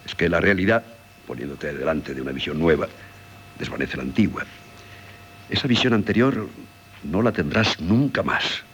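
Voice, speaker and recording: Middle-aged Castilian husband, low voice with lively inflection, patient but wounded, hissy vintage radio drama. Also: male voice, moderate pace, long pauses. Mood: patient, reasoning. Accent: Castilian Spanish. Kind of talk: 1970s Spanish radio drama acting. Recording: hissy 1970s radio recording.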